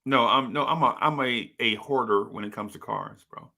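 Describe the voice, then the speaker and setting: Bright-voiced teasing male co-host. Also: medium pitch, moderate pace, vocal fry. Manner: teasing, joking, calls others "bro". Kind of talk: casual sports radio banter.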